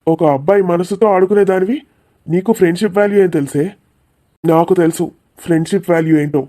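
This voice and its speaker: A man's voice: high-pitched, fairly even intonation, fast talker, mellow, natural voice. A man voicing an indignant, defensive young man arguing earnestly in a cartoon comedy. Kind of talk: dramatic cartoon comedy dialogue.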